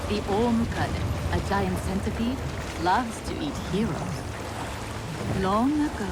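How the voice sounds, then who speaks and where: An actress playing a gracious, composed Japanese noblewoman and widow in a video game, speaking formally and with measured care. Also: mid-range voice, very noisy, natural voice. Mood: earnest storytelling. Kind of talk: video game cutscene acting. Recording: loud music behind the voice. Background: music.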